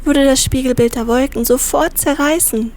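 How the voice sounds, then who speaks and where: A woman voicing a kind, encouraging little fish in a fairy-tale audio story, gentle and reassuring. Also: sits high, normal speed, a little hiss or hum, lightly acted. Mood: calm, wise.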